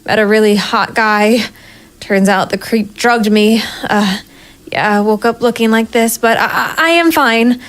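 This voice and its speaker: A woman's voice: a little high, unhurried, lightly acted. A woman playing a hardboiled, sardonic private investigator in a noir audio drama, with a wry, self-mocking manner. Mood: flustered, pleading. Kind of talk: first-person noir audio drama narration and dialogue.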